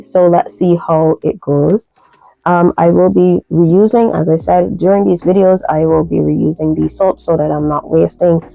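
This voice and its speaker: A woman from Barbados with a softened Barbadian accent, chatting casually through a soap-making tutorial with lots of fillers. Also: fairly low pitch, vocal fry, no echo, low-quality recording. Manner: relaxed, chatty, many fillers, light laughs.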